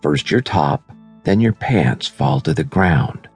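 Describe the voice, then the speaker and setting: A man narrating a scripted guided meditation in a soothing, measured, unhurried manner. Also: a bass voice, expressive, creaky, darkish tone, breathy edge, no room sound. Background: background music.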